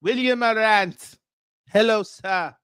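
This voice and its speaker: A pompous, jet-setting self-styled prince with a put-on Nigerian accent, as a man acts him out for a livestream. He talks in a high, slow, deliberate way, formal and grandiose. The recording is close and dry. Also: a bit bright, clear, resonant tone, no room sound. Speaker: livestream host. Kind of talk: comedic character livestream.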